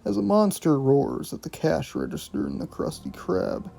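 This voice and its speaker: A man's voice: mid-range voice, huge pitch swings, normal speed, vocal fry, warm tone, breathy edge, faint music behind. A man hosting a video review, reading scripted commentary with some casual, profane asides.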